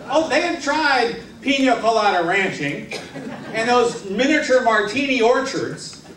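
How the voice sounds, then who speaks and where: A man telling a comic tall tale on stage in a theatrical, mock-serious way with dramatic pauses. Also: sits high, a bit bright. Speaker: humorous contest speaker. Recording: roomy hall, distant mic, faint noise.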